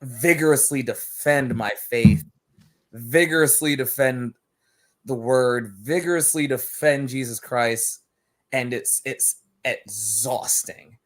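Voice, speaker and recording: A man's voice: medium pitch, slow pace. A man from Barbados, a wrestler guest on a podcast, talking casually and telling stories with lots of laughter and repeated words. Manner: animated storytelling, laughing often, repeats words.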